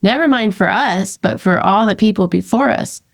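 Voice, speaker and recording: A woman hosts a podcast in a relaxed, conversational style at a medium pitch. She responds to her guest with enthusiasm and affirmation. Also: normal speed, vocal fry. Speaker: podcast host. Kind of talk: casual conversational interview.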